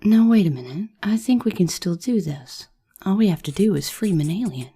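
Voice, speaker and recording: Woman as female fantasy bard, earnest, husky and dark-toned, audio drama with faint music underneath. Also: medium pitch, expressive, normal speed. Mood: alarmed, determined. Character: Pinky, weary adult female bard and spellcaster, earnest.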